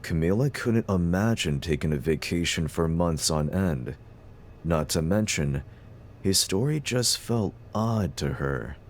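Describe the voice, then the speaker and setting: Super deep guy narrating a story, a bit of noise behind him. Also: lively intonation, unhurried.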